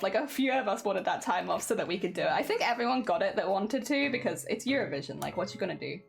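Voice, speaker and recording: Wheezy woman streamer on a headset, high-pitched and animated, faint music behind. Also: gravelly. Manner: chatty, rambling, laughs often. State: wheezy, voice strained. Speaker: crafting livestreamer. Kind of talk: casual chat to live chat.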